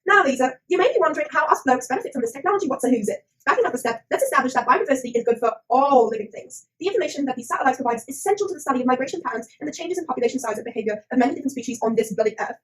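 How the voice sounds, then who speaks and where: A woman's voice: high-pitched, lively intonation, very fast, hardly any pauses, poor audio. A woman voicing a cheeky puppet presenter, theatrical and slangy, in a scripted comic science explainer. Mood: cheeky explaining. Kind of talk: scripted comic educational narration.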